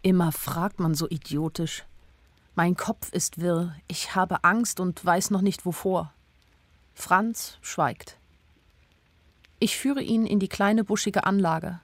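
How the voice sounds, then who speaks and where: A woman's voice: on the low side, steady tone, takes it slowly, no echo. An actress playing an anxious young woman who narrates her own story in a radio drama, in a plain, introspective way. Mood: anxious, confused.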